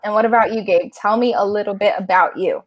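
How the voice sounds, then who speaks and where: A woman hosts a relaxed podcast chat, upbeat and quick to laugh. Her voice sits at a medium pitch, and she keeps the talk friendly and easy. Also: highs cut off. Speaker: podcast host. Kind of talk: casual podcast interview.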